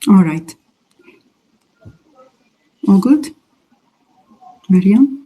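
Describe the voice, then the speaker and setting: Slow, mellow-voiced woman moderator. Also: medium pitch, lively intonation, breathy edge, poor audio. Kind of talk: moderating a live online audio discussion.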